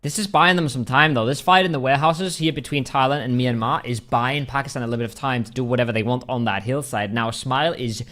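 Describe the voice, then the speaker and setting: A man commentating live on an esports match, mixing excitable play-by-play with analysis. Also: mid-range voice, fast, hardly any pauses.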